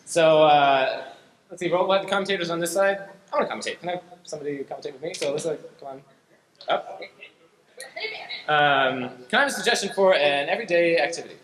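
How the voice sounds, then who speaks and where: A man's voice: fairly high pitch, quick pace, fairly bright tone, far from the mic. A man hosting a live improv show, talking to the audience in a casual, hesitant way. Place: indoor stage before an audience. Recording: roomy, noisy live stage recording.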